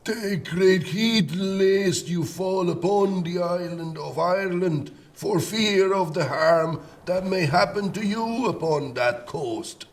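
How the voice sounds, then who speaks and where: A man voices a grave commander of a fleet in an audio play. The voice sits high for a man, and the delivery is slow, formal and cautionary. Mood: grave warning.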